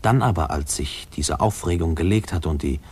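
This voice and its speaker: An actor playing a grown man wistfully recalling his orphaned boyhood, reflective and measured, in a 1950s radio play narration. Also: low-pitched, darkish tone, breathy edge.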